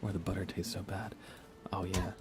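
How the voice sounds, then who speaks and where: Soft-spoken, low-voiced man, ASMR chat. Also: little pitch movement, moderate pace, heavy background noise. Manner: soft-spoken, often dropping into a whisper. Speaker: livestreamer playing a video game. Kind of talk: casual ASMR livestream chat.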